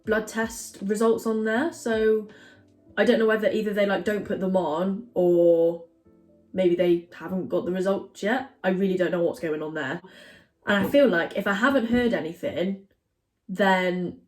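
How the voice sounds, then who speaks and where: A woman's voice: medium pitch, steady tone, measured pace, darkish tone, soft background music. Chatty young woman vlogging. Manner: chatty, rambling, lots of fillers. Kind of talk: casual chat to camera. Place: home kitchen.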